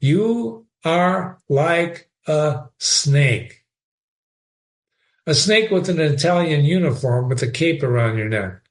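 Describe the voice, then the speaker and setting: A man's voice: expressive, fairly quick, few pauses, lightly acted. A man voicing a tearful, indignant young woman, a Scottish nurse who scolds and sobs. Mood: scolding. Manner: shrill, scolding, sobbing.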